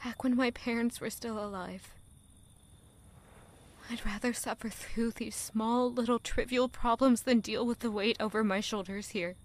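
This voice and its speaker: A woman's voice: a little high, fairly even intonation. A woman playing an anguished, weary young village girl in a dramatic, emotional audio drama monologue. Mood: longing. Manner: emotional, slow, deliberate delivery with rhetorical questions.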